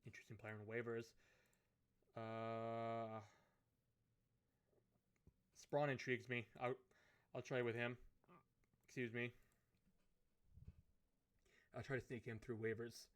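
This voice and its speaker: A man with a hoarse, fading voice, thinking aloud as he commentates a hockey management game. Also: mid-range voice, little pitch movement. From Canada. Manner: musing aloud, unhurried, thinking through choices. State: hoarse, losing his voice. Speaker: gaming commentator. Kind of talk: let's play commentary of a management sim.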